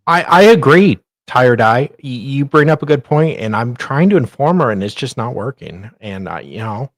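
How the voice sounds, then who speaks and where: A man's voice: medium pitch, animated, normal speed, no room sound. A man co-hosting a commentary show in casual conversation. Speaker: co-host.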